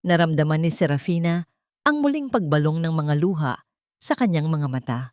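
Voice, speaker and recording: A woman reading scripted dramatic fiction as a narrator, in a solemn, deliberate way. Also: low voice, lively intonation, darkish tone, no echo, studio quality. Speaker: story narrator.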